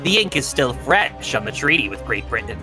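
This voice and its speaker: A man acts out a pompous video game prosecutor, smug and long-winded. His delivery is theatrical and swings widely in pitch, with music playing clearly behind it. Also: big pitch swings, brisk, natural voice. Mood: solemn pride. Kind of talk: live comedic fan dub of a video game.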